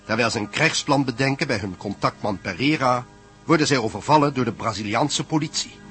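A man's voice: mid-range voice, a little fast, fairly bright tone. Male radio play narrator over music.

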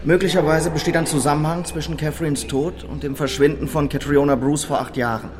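An actor playing a formal, methodical police detective in a German radio drama. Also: male voice, on the high side, fairly even intonation, a little fast, over music, close to an everyday voice. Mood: formal, inquiring.

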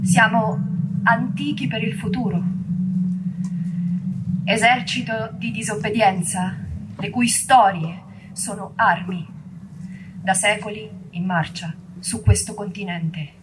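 A woman's voice: medium pitch, slow pace, long pauses, noisy background, close to an everyday voice. A woman performing a solemn theatrical monologue as the proud, declamatory voice of an ancient rebel army. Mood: solemn, defiant.

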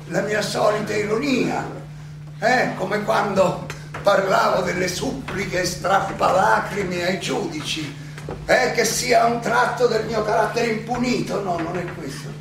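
An actor playing an aging Athenian philosopher who defends himself in a theatrical monologue, ironic and full of rhetorical questions and halting repetitions. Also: male voice, high voice, vocal fry, music in the background. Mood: insistent, declamatory. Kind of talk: theatrical stage monologue, oratory. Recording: roomy, distant, noisy live stage recording.

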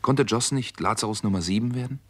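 Low-voiced, brooding doctor played by a man. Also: fast, close to an everyday voice. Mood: brooding, tense. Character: wary, brooding American doctor narrating his own case. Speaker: radio drama actor, lead and narrator. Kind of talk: 1960s German radio crime drama acting.

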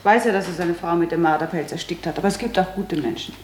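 An actress playing a practical, self-assured grown daughter in a German radio play, speaking in a matter-of-fact, calmly dismissive and soothing way. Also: female voice, fairly low pitch, fast, roomy sound, slight background noise, lightly acted.

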